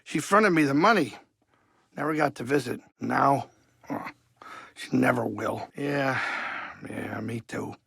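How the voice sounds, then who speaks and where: An actor playing a grumbling but warm-hearted older alien pilot and cook in a video game, with a gravelly voice and a folksy, wisecracking, fussy way of talking. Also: male voice, medium pitch, a little slow, long pauses, dry recording, studio quality, exaggerated voice. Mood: somber, concerned. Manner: folksy, wisecracking, fussy, colloquial streetwise talk. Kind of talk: video game character dialogue.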